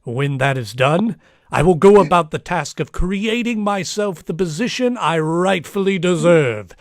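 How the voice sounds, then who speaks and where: Man playing a slow, theatrical megalomaniac villain. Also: high-pitched, lively intonation, no echo, clean studio sound. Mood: grandiose menace. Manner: theatrical, deliberate, grandiose delivery. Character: megalomaniac male villain posing as a professor, gloating and menacing. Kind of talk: old-time radio drama acting.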